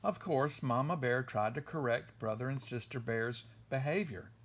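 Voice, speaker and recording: A man reading a children's story aloud in an expressive storytelling style. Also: medium pitch, moderate pace, a little hiss or hum. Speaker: storybook reader.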